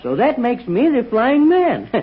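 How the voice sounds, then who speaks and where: A man's voice: slow, breathy edge, exaggerated voice. An eager Mexican boy sidekick. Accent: Mexican Spanish. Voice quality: high, lively, broken English. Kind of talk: 1940s radio acting. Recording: tinny old radio broadcast.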